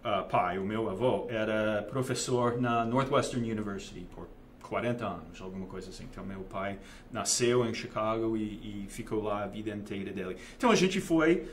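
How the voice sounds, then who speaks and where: Casting: Texan American man in his 40s, non-native Portuguese, halting delivery with lots of fillers, faint background hiss. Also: fairly low pitch. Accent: Texan-American speaking Portuguese. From United States, grew up in Dallas. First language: English. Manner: hesitant, many fillers and restarts. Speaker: guest, investment manager. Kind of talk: casual interview.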